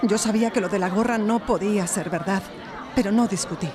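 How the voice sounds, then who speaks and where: A woman's voice: medium pitch, fairly quick, a crowd in the background, lightly acted. A woman playing an upset young shop assistant giving an earnest account in a live audio drama. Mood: upset, recounting. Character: distressed young female shop assistant testifying, tearful but firm. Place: recorded live before an audience in a library. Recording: live stage recording, audience noise.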